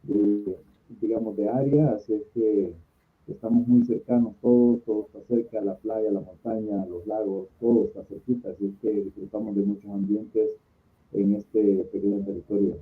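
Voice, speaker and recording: Salvadoran man, phone-like sound, lower voice. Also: fairly even intonation, moderate pace, faint music behind. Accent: Salvadoran Spanish. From San Salvador, El Salvador. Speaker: invited guest, conference speaker and contact group organizer. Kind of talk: interview answers, explanatory talk. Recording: thin phone-like call audio.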